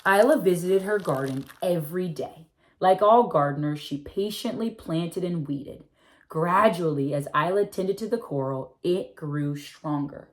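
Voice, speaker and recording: Woman reading a kids' picture book aloud, lowish voice, expressive and slow in spots. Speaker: read-aloud narrator.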